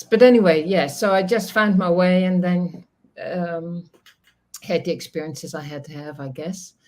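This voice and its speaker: A woman's voice: on the low side, a little mellow. A middle-aged woman from the Netherlands, a native Dutch speaker, talking as a guest over a video call, reflective and laughing often, with many restarts. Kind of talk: casual interview chat.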